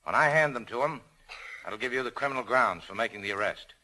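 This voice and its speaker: A man's voice: medium pitch, animated, fast talker, bright, forceful tone, rough recording. A male actor playing a cynical but self-sacrificing American nightclub owner in a 1940s radio drama, with a clipped, tough, terse delivery. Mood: brisk, guarded.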